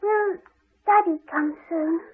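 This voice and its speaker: A woman's voice: extremely high pitch, slow. A female performer playing a small, anxious, curious daughter in a radio drama, childlike, plaintive and questioning. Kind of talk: 1980s radio drama acting. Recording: thin narrow-band old radio recording.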